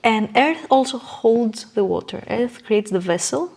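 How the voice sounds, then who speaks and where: A woman's voice: on the high side, lively intonation, measured pace, gravelly, darkish tone. Earnest woman explaining on a podcast. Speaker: interview guest, coach.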